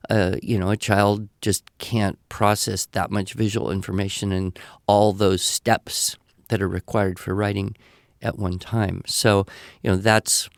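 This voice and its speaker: A man speaking conversationally as a podcast expert, explaining advice in a measured way with emphatic repetition. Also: lowish voice, level tone, no echo, clean studio sound. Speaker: podcast expert answering listener questions.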